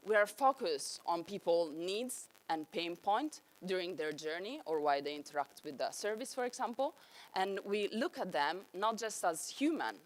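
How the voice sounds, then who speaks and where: A young woman with an Italian accent giving an enthusiastic conference talk on stage. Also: fairly low pitch. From Italy. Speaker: conference speaker.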